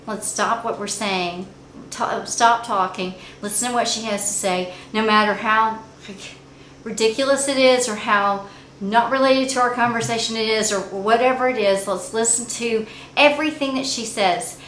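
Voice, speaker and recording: A middle-aged woman telling family stories in a chatty, animated way as a regular on a family channel. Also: mid-range voice. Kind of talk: casual storytime chat. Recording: echoey room with faint noise.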